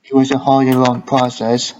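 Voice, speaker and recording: A man's voice: mid-range voice, completely flat delivery, normal speed, lo-fi sound. A man makes brief, casual comments on game cutscenes in an understated way. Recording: noisy amateur voice-over.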